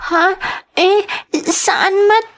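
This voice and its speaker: Woman acting very high, timid young girl. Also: extremely slow, fairly bright tone, full character voice. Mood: frightened pleading. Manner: timid, hesitant, pleading. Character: frightened, timid young girl, obedient niece. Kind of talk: dramatized audio drama acting.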